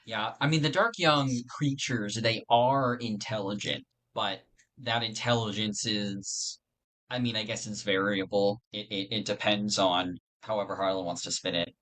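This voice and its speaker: A man's voice: lowish voice, fairly even intonation, unhurried, a bit bright, poor audio. A man chatting casually as a podcast lore guest, thinking aloud in a hesitant, rambling way. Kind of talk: casual chat.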